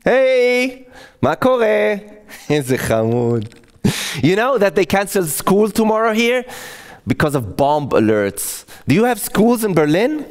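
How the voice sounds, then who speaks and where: A chatty teenage girl pitched high and bright, as a man puts her on. She gushes and frets in quick rising bursts, swinging wide in pitch. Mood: gushing. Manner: high, excitable and gushing. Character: girl a little older than the son, chatty and nervous.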